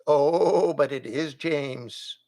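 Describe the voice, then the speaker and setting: A man puts on a fretful goat in spectacles and a tweed vest, muttering and apologizing in a prim, slow, fussy way. The voice sits a little higher than an ordinary man's, drawn out like a storybook character. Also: a little mellow.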